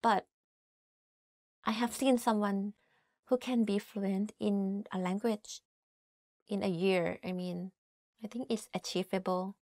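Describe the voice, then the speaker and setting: A woman's voice: medium pitch, steady tone, slow, long pauses, darkish tone, no room sound, studio quality. A young woman with a Thai accent from Thailand, teaching in a casual chat to camera and often saying "like". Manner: chatty, says "like" often, reads Thai phrases then translates. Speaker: language teacher. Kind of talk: casual language lesson to camera. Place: indoor studio, plain backdrop.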